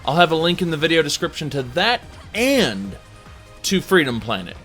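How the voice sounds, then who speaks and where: A man with a fairly high voice reviews a video game over background music. His delivery is informal and conversational, with emphatic phrasing and dramatic pauses. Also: sing-song, fairly bright tone. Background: music under the voice.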